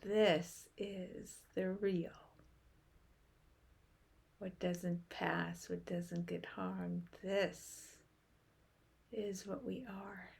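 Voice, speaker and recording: A woman leading a guided meditation in a soft, hushed voice that trails toward a whisper, with long pauses between short phrases. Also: fairly low pitch, little pitch movement, vocal fry, slightly rough.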